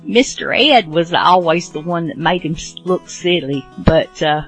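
A woman hosting a radio show with a casual, halting, rambling and apologetic introduction. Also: on the low side, expressive, faint music behind, low-quality recording. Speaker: host of old radio drama show.

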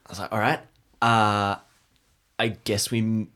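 Deep-voiced man thinking aloud at game. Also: level tone, unhurried, a bit bright, smooth. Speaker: player in a tabletop role-playing show. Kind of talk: casual improvised tabletop game chat. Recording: music playing behind the voice. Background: background music.

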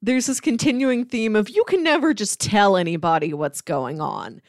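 A woman's voice: medium pitch, animated, moderate pace, no echo, clean studio sound. A woman speaking as a podcast co-host in a casual, conversational discussion. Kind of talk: casual chat discussing sitcoms.

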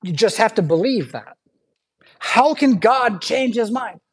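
A man's voice: high-pitched, expressive, measured pace, husky. A man preaching a sermon in a church, in a conversational way, often checking in with "right?" and stopping to explain words. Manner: conversational, frequent "right?" tag questions and fillers. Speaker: pastor. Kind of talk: sermon with casual asides. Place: church sanctuary.

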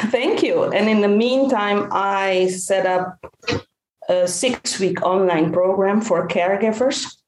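A woman from the Netherlands with a Dutch accent, a guest telling her story in an animated way, acting out the dialogue she quotes. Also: mid-range voice, slow pace, slight background noise. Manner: animated, acts out quoted dialogue, laughs often. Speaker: guest, caregiver coach and author. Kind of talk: storytelling in a podcast interview. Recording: echoey room.

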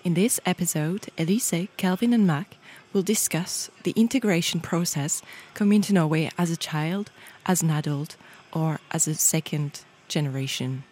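A woman's voice: low voice, moderate pace, a little mellow. A woman from France hosting a podcast in a radio studio, leading the chat with a hesitant, filler-heavy delivery. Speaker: podcast host.

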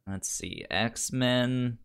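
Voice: mid-range voice, very slow, vocal fry, clean tone. A podcast co-host chatting casually about comics, with a stammer that often repeats sounds and words.

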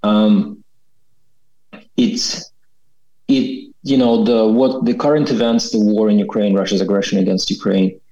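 A man's voice: lowish voice, fairly even intonation, unhurried, long pauses, dark tone. A man in his forties from Kazakhstan, giving a reflective personal account as a guest and often checking in with "right?". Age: 40s. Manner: thoughtful, often ends with "right?". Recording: dull lo-fi sound.

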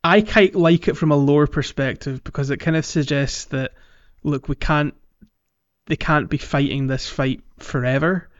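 A man's voice: fairly high pitch, normal speed, dry recording. A man co-hosting a casual gaming podcast, phrasing things hesitantly and circling back over his words. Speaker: podcast co-host. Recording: dull, lo-fi, music behind.

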